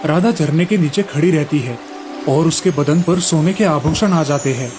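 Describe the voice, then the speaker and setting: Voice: medium pitch, moderate pace, over music. A narrator reading a scripted children's story in a storybook manner.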